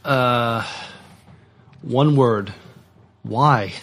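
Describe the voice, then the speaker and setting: A man co-hosting a podcast, chatting casually in halting, hesitant phrases. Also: mid-range voice, fairly bright tone. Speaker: podcast co-host. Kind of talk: casual discussion of sci-fi news.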